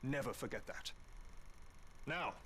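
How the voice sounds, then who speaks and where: An actor playing a proud, battle-eager British armoured major with a formal, clipped, rousing delivery in a war game briefing. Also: male voice, mid-range voice, animated, slow, a bit bright, slight background noise. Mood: brisk, proud. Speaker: military recruiter. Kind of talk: scripted game cutscene briefing.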